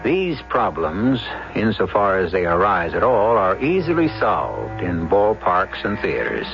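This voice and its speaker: Gravelly, theatrical radio mystery host, male. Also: low-pitched, sing-song. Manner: measured, wry, theatrical. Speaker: radio drama host and narrator. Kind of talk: scripted narration, 1970s radio mystery. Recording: dull vintage radio, music behind.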